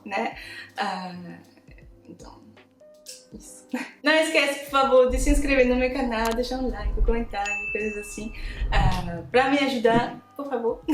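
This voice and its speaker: A French woman with a French accent, a native French speaker, hesitantly introducing her reaction vlog with small laughs and stumbles. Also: fairly high pitch. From France. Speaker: YouTuber. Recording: roomy echo with soft background music.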